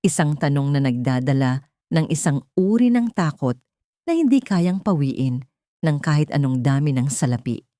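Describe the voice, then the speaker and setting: A woman narrating a scripted dramatic story in a measured, solemn, literary way. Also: low-pitched, sing-song, dark tone, no room sound.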